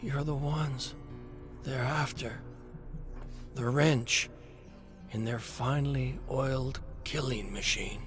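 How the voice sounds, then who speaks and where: A man voicing a dying, drugged secret agent who confesses in despair, agitated and halting, in a dramatic audio story. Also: mid-range voice, fairly even intonation, music in the background, natural voice. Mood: haunted despair. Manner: agitated, halting, breaking into anguished strain.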